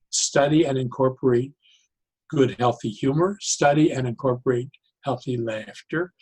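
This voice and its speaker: A man speaking as an interview guest, explaining his ideas conversationally in a deliberate, halting way and repeating key phrases. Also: medium pitch, slightly rough, low-quality recording. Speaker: interview guest, psychologist and joyologist.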